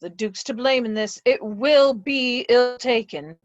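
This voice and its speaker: A woman's voice: fairly high pitch, unhurried, no room sound, dull audio, lightly acted. A woman playing a kindly, troubled old earl in a Shakespeare stage read-through. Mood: sympathetic.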